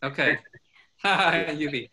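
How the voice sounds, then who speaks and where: A man leading a small worship group over a video call, his voice very bright and a touch high. He steers the chat with quick friendly questions and laughs easily.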